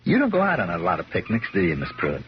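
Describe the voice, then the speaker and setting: An actor playing an easygoing, kindhearted police lieutenant in a radio drama, with a folksy, wisecracking way of talking. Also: male voice, low-pitched, wildly swooping pitch, quick pace. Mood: casual, probing. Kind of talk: 1970s radio drama acting. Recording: dull, noisy 1970s radio broadcast recording.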